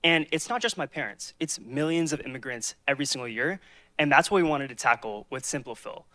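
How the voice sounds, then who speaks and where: A man pitching his team's hackathon app, framing it with a personal story before starting the demo. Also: animated, a little fast, sharp tone, dry recording. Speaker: hackathon presenter.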